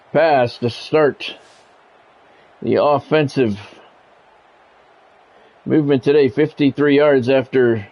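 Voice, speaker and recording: A man's voice: medium pitch, darkish tone. An American man doing live play-by-play of a football video game in short sportscaster phrases, chatting casually with stream viewers. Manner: radio-style sportscaster calls in short clipped phrases. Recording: dull lo-fi mic with background music. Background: music.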